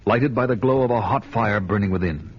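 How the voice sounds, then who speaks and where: An actor playing a grim, vengeful barbarian warrior who tells his saga in solemn, deliberate dramatic style. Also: fairly low pitch, slight background noise, lightly acted. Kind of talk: dramatic first-person radio narration and acting. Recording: dull lo-fi radio recording, loud music behind.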